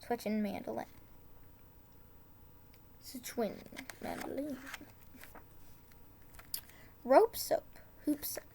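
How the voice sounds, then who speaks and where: A high-pitched woman reads a children's tongue-twister storybook aloud. Her pace is slow and deliberate, and she steps carefully through the tricky words, correcting herself when she trips. Casual asides slip in between the rhymes. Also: vocal fry, dark tone, slight background noise. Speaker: storybook reader.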